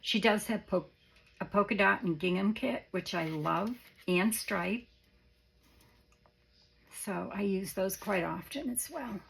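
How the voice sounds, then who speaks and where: A middle-aged woman with a hoarse, gravelly voice, slow and unhurried as she admires ribbons and lace. Her tone is husky and soft, and she often dips into a near-whisper. It is a casual show-and-tell for crafters. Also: medium pitch, rough recording. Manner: soft-spoken, gushing, often drops to a whisper. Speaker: craft vlogger.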